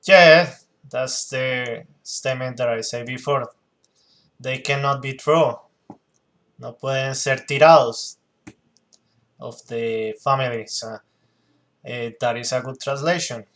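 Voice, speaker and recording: A man from Colombia with a Colombian accent speaks English as his second language. He talks at a very slow, deliberate pace and keeps breaking off to search for words. He often restarts a phrase before finishing it. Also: mid-range voice, steady tone, fairly bright tone. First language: Spanish. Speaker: commentator reacting to a clip. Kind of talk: reaction commentary.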